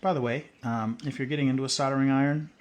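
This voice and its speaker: A man's voice: mid-range voice, fast, slight background noise. Man thinking aloud at a workbench. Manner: relaxed, thinking aloud while working. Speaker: video host. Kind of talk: hands-on tutorial.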